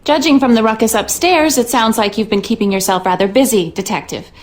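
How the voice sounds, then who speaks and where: An actress playing the worried, weary lady of a rundown manor in a video game, speaking in a measured, dry, articulate way. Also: on the high side, background noise, close to an everyday voice. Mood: exasperated, worried.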